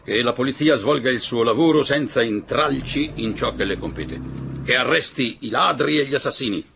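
Faint ambience surrounds a pompous fascist official in a radio drama. The voice is forceful and creaky, swinging widely in declamation, with menace under the formality. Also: male voice, mid-range voice, a little hiss or hum, full character voice. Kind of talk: Italian radio drama acting.

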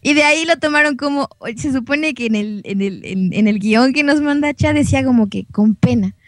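A woman's voice: sits high, a little fast. A young woman, a guest voice actress telling anecdotes in a casual, giggly, informal way.

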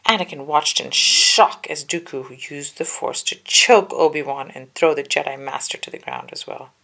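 A woman's voice: deep voice, expressive, normal speed, creaky, sharp tone, low-quality recording. A woman reading a children's storybook aloud with careful, dramatic narration. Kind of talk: children's book read-aloud, scripted narration.

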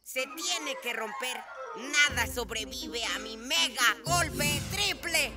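Bossy kid ninja bad guy, latin american cartoon, high slow pompous delivery, music blaring under. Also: animated. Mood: determined. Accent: Latin American Spanish. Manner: pompous, commanding. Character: boastful young ninja villain boy, bossy and scornful. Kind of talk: animated children's cartoon dub. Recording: loud music behind the voice.

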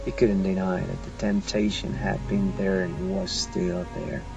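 A man's voice: sits low, flat delivery, measured pace, gravelly. A man reading dramatic scripted narration as an audiobook narrator. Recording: muffled recording with music behind. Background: music.

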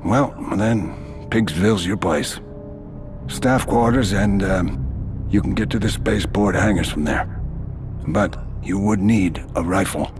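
Laconic lowish prison fixer in a game, casual, music underneath. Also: male voice, a little slow. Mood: businesslike. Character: matter-of-fact, streetwise male prison fixer. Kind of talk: video game dialogue.

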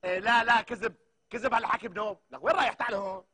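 A fast, high-voiced Syrian barber, acted by a man in a comedy sketch. He is chatty and hot-tempered, arguing and exclaiming in a rough, husky voice. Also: steady tone, bright, forceful tone, heavily acted. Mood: angry, exasperated. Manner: argumentative, exclamatory, repeats himself. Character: hot-tempered, chatty male barber ranting into his phone.

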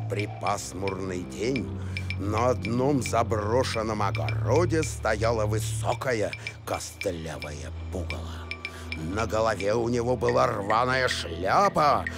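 A man's voice: on the low side, lively intonation, slow pace. A man voicing a good-natured, sceptical talking dog in a cartoon, with a wry, grumbling manner. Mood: spooky storytelling. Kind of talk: animated cartoon voice acting. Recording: music behind the voice.

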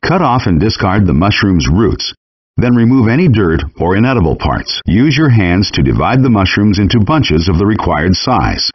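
A man reading scripted step-by-step cooking instructions as a video game's chef guide, in a calm, even instructional manner. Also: fairly low pitch, slightly rough. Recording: dull lo-fi game audio rip.

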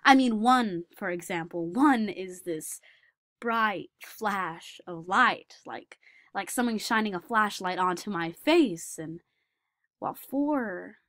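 A woman playing a shy, socially awkward male savant in a dramatic monologue, halting and repeating words. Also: medium pitch, fairly bright tone, dry recording, clean studio sound. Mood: shy wonder. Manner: halting, repeating words, swinging from whispers to nervous outbursts. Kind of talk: dramatic monologue for a speech competition.

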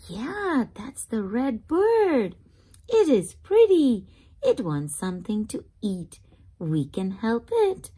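A woman hosts a children's read-aloud in a high voice that swings expressively up and down. She takes the short, simple sentences slowly and clearly spaced, in a teacherly way, putting easy questions to young listeners. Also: mellow.

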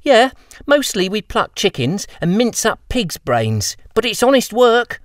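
A man voicing a tall, tough young girl apprentice, talking in a brisk, teasing way. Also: sits high, big pitch swings, dry recording, studio quality, pitched above the performer's own voice. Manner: brisk, teasing, sharp-tongued.

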